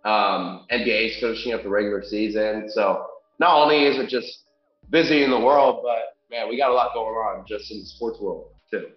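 A man's voice: fairly low pitch, moderate pace, faint music behind. A young man with a congested, nasal voice, co-hosting a sports podcast in a casual chatty way, with frequent ums and restarts. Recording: echoey room, dull lo-fi sound.

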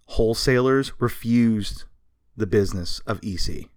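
A man with a mid-pitched voice co-hosts a podcast in a close, dry recording. He explains history like a casual story, leaning on key points as he goes. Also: measured pace, a little mellow, no echo. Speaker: podcast co-host.

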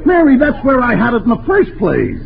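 A man's voice: extremely high pitch, huge pitch swings, fairly quick, gravelly, exaggerated voice. An actor playing a flustered, grumbling middle-aged father and husband in a 1940s radio comedy. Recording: tinny, noisy 1940s radio broadcast.

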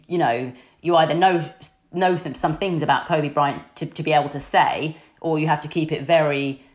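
Young woman, deep husky low voice, chatty and candid interview guest, lots of fillers. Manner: chatty and candid, many fillers like "you know". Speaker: sports news presenter, podcast guest. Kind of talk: casual interview chat.

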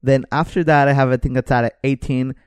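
A man's voice: mid-range voice, steady tone, fairly quick, clean tone, no room sound, clean studio sound. A young man co-hosting a podcast in casual chat, joking around with slang and swearing. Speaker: podcast co-host.

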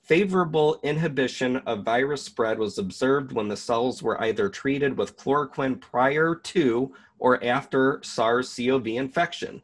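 A man hosting an online video, reading a document aloud and commenting in a deliberate, emphatic way. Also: medium pitch.

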